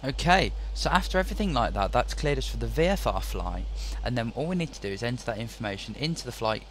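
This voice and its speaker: A man hosting a flight-simulator tutorial, explaining step by step in a relaxed way with occasional slips. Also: mid-range voice, fast talker, a little hiss or hum, rough recording. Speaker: host of flight-sim tutorial. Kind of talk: casual tutorial walkthrough.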